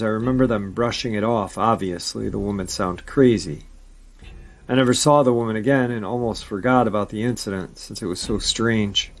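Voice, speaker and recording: A man hosting a paranormal channel, reading viewer-submitted encounter stories aloud in a steady storytelling manner with brief casual asides. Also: lowish voice.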